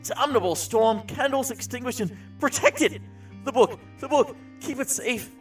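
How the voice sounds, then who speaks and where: An actor playing a tormented, grief-stricken man descending into madness in an audio drama, distraught and halting, with formal wording. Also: very high-pitched, faint music behind. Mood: frantic, anguished.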